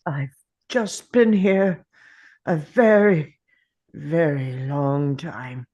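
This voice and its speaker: An actor playing a dying, grim and weary alien Time Lord in a dramatic script read, with a halting, pained delivery full of sighs. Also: mid-range voice, a little mellow, muffled recording. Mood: grief-stricken.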